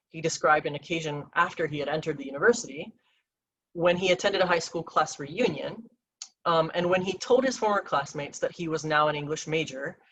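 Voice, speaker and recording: Measured academic lecturer presenting a case study. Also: medium pitch, a bit bright, rough recording. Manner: measured, careful reading with occasional um and restarts.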